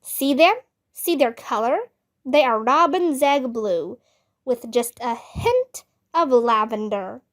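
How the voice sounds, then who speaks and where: A woman's voice: high-pitched, lively intonation, slow pace. A woman voicing a vain, showy little girl who boasts in a children's storybook. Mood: preening.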